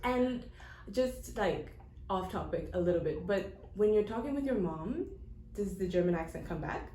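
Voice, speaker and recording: A woman hosting a casual interview, bubbly and quick to laugh and affirm. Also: mid-range voice, expressive, mellow, reverberant, a little hiss or hum. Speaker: podcast host.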